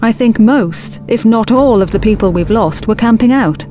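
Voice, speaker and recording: A woman acting a skeptical, practical expedition officer in a science fiction audio drama, brisk and matter-of-fact. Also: on the high side, close to an everyday voice. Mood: wary. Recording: music bed under the dialogue.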